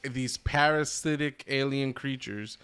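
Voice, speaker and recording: A man's voice: medium pitch, unhurried, fairly bright tone, clear, resonant tone. A man co-hosting a podcast, giving blunt, slangy opinions with swearing in casual chat. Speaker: podcast co-host.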